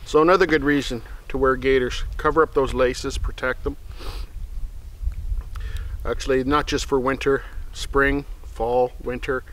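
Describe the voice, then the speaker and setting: A man's voice: medium pitch, unhurried, long pauses, low-quality recording. A middle-aged man hosting his outdoor channel, chatting casually and easily to camera while seated in a snowy forest. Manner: relaxed, rambling, soft-spoken asides to his dog.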